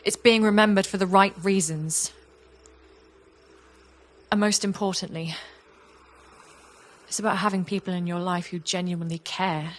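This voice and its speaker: A woman's voice: fairly low pitch, fairly even intonation, normal speed, long pauses, natural voice. A woman playing a reflective young artist in an audio play, speaking in an earnest, confessional way. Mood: heartfelt, resolute. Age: young adult. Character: young woman artist, reflective, warm, wistful about an old friend. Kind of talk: audio play monologue and dialogue.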